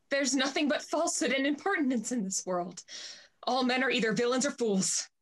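A woman's voice: high-pitched, moderate pace, fairly bright tone. A woman playing a bitter, proud society lady in a classical stage comedy, scornful and theatrical. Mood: bitter, wounded. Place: indoors at home, on a video call.

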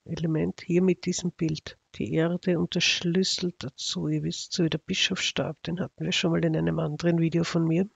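A man's voice: high voice, gravelly, no echo, lo-fi sound. A man with an Austrian accent giving casual, unscripted commentary as an astrology video narrator, in a sardonic, ironic manner.

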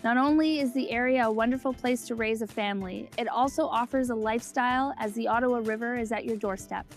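A woman reading an upbeat, polished scripted promotional narration. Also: fairly high pitch.

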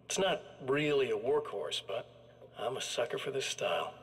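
A man's voice: mid-range voice, animated, normal speed, natural voice. A man playing a sadistic evil-twin killer in a video game, chatting with smug, casual menace. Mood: smug relish. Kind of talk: video game monologue acting.

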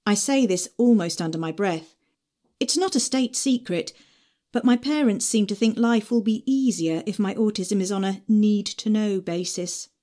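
A woman in her forties reading audiobook excerpts with clear, expressive storytelling. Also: mid-range voice, normal speed. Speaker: audiobook narrator. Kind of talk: audiobook narration reel.

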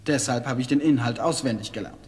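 Composed male military courier played by an actor, deferential and formal, medium pitch, audio drama with long reverb. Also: quick pace, darkish tone, lightly acted. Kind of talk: German audio drama acting.

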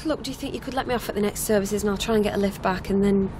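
A young woman played by an actress in a radio drama, riding as a hitch-hiker in a noisy vehicle. She is flustered and apologetic, with a halting, hesitant delivery. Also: mid-range voice, steady tone, a little mellow, close to an everyday voice. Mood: hesitant, frustrated. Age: young adult. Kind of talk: naturalistic radio drama acting. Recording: clear vehicle noise behind. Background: car interior ambience, engine noise.

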